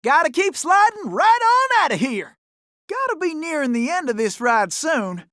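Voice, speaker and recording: A man's voice: very high voice, big pitch swings, normal speed, pushed and bright, no echo, studio quality, heavily acted. A man voicing a cocky, folksy cowboy raccoon for a cartoon video game, with a drawling American Southern accent. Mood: exuberant, bragging. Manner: folksy, drawling, boastful, whooping cowboy talk.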